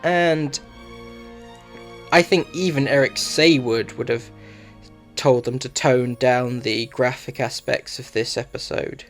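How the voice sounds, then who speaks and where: A man's voice: mid-range voice, steady tone, takes it slowly, a bit bright, faint music behind. A man giving a scripted review narration as a reviewer.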